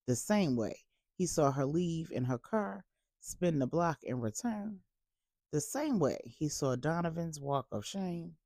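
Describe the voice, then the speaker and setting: A woman storyteller giving a deliberate, emphatic, dramatic narration of a bedtime story for grown-ups. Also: deep voice, warm tone, no echo, clean studio sound.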